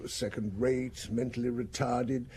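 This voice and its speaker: Actor as mellow, donnish male professor. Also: mid-range voice, little pitch movement, a little hiss or hum, natural voice. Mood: reflective, explaining. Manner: hesitant, measured, donnish. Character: learned, reflective male psychiatry professor. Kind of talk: radio drama acting, conversational.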